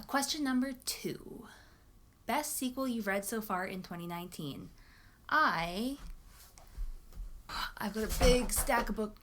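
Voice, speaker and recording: A woman's voice: medium pitch, fairly bright tone, a little hiss or hum. Casual, enthusiastic woman book vlogger. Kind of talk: casual chat to camera about books.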